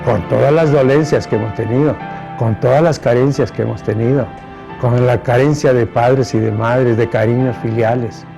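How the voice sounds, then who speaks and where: A man's voice: medium pitch, steady tone, normal speed, creaky, warm tone, soft background music. A man, giving reflective personal testimony. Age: 70s. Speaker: interviewee.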